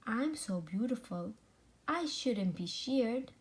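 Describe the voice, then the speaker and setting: A woman's voice: fairly high pitch, lively intonation, takes it slowly. A woman voicing a vain, sneaky male sheep in a storybook, with a self-admiring, sing-song delivery. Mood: vain, delighted. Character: vain, sneaky male sheep proud of his fluffy wool.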